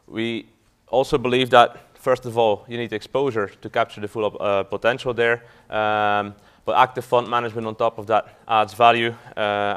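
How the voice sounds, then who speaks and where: A man's voice: medium pitch, fairly bright tone, smooth. A man from Belgium, a fund manager giving a business talk to an audience, hesitant with frequent fillers and restarts.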